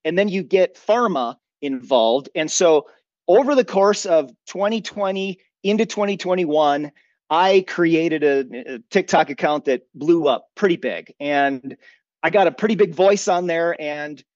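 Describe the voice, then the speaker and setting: Higher-voiced earnest man, slightly dull recording. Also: expressive, unhurried, a little mellow, no room sound. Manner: earnest, opinionated, rhetorical questions to himself. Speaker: podcast guest, emergency preparedness expert. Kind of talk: conversational interview, long personal explanations.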